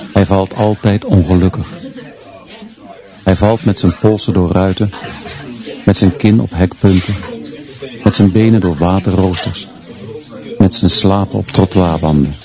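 An actor playing a stern male schoolteacher who narrates a radio play in clipped, measured, grimly deadpan phrases. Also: low-pitched, lo-fi sound.

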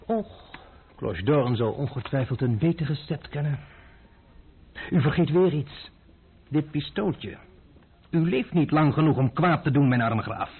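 An actor in a Dutch radio play, voicing a stubborn, defiant adventurer who talks back with wry insolence. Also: male voice, on the high side, lively intonation, a little slow, long pauses, slightly rough, full character voice. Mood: dry mockery. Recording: muffled phone-like old radio recording.

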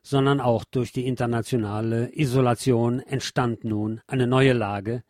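A man reading scripted historical documentary narration in a formal, measured manner. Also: mid-range voice, fairly even intonation, a little mellow, no echo, clean studio sound. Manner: formal, measured read delivery.

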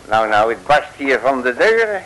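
An actor from the Netherlands playing an excitable, grumpy, bumbling detective who scolds and curses colourfully in a vintage Dutch comic radio serial. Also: male voice, medium pitch, normal speed, background noise, natural voice. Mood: impatient, blustering. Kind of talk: 1950s-60s comic radio serial acting. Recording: vintage mono radio recording.

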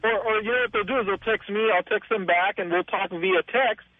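A male caller on a talk radio show, wry and halting, with many fillers. His voice sits high. He comes through a dry, tinny phone line. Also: no echo.

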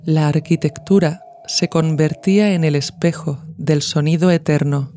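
A man from reads a scripted literary audio essay in a measured, formal way. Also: on the high side, steady tone, mellow, a little hiss or hum. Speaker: podcast narrator. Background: music.

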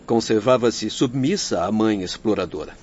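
A man's voice: on the low side, a little mellow, natural voice. A man with a Brazilian accent playing a grave, compassionate doctor who solemnly recounts a life in radio drama. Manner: solemn, measured explanation. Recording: dull lo-fi, faint background noise.

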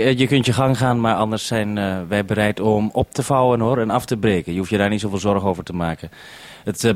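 A man's voice: on the low side, dry recording. A man in a practical two-way radio exchange, speaking as a businesslike programme maker organising things.

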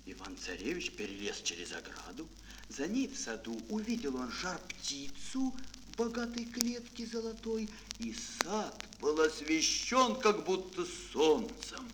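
Male storyteller for a children's tale: theatrical, slightly high, swooping intonation, unhurried, over prominent music. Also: big pitch swings, noisy background. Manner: dramatic, declamatory. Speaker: narrator. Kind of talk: theatrical scripted fairy-tale narration for children.

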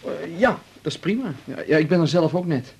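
A man's voice: on the high side, lively intonation, a little fast, gravelly, a little mellow, breathy edge, exaggerated voice. Hesitant, helpful man. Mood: awkward, helpful. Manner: hesitant, repeats himself. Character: polite, slightly awkward, helpful man at home. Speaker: actor in a radio drama. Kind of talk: naturalistic radio drama dialogue.

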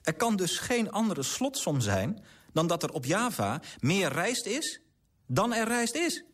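An actor in a radio drama playing an earnest, idealistic clerk, formal and articulate, politely persistent. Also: male voice, high-pitched, sing-song, a little slow, fairly bright tone, exaggerated voice. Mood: indignant, persuasive.

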